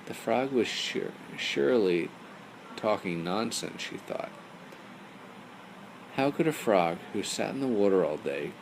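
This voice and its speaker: A man's voice: lowish voice, gravelly, slight background noise, rough recording. A man reading a bedtime story as storyteller, speaking simply as if to young listeners.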